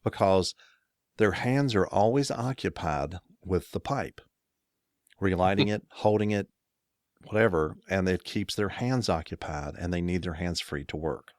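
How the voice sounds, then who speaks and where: A middle-aged man from the United States with a very low, deep voice, talking in a loose, rambling way and often correcting himself mid-sentence. He sounds close to the mic, dry and free of room echo. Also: unhurried. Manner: rambling, self-correcting storyteller with chuckles. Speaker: podcast co-host. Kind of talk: casual chat.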